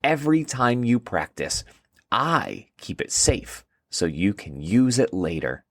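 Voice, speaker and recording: A man reads as a small, helpful seahorse-tailed memory creature from a children's story. He explains things in a friendly, unhurried way, with a lively rise and fall, recorded close and dry. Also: medium pitch, no room sound. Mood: helpful, explaining. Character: squeaky, helpful seahorse-tailed hippocampus, male brain buddy.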